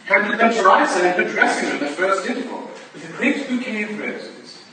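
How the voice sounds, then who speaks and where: Casting: actor as tipsy upper-class braggart, highish animated voice, swaggering and confiding, period stage drama. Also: male voice, normal speed. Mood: self-important. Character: boastful, drunken upper-class English gentleman rake. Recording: echoey room from far off, noisy.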